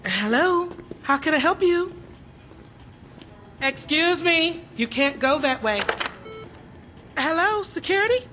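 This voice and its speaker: A woman's voice: very high-pitched, lively intonation, slow pace, long pauses, sharp tone, a little hiss or hum, exaggerated voice. A woman playing a polite, anxious receptionist in a video game, speaking carefully. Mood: polite, uneasy. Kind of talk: video game cutscene acting.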